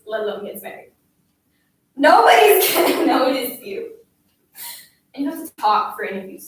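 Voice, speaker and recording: A woman's voice: medium pitch, fairly bright tone, close to an everyday voice. Echoey, far off lady playing a mean schoolgirl, taunting and pushing, dramatic monologue. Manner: taunting, badgering, insistent. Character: bullying young schoolgirl, scornful and relentlessly demanding. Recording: echoey room, distant mic, faint noise.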